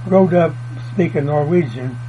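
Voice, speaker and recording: A man's voice: on the high side, unhurried. An older man, telling family history in a folksy, meandering way. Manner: folksy, meandering, plain country grammar. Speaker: oral history interviewee. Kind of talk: rambling family reminiscence. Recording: dull lo-fi tape with mains hum.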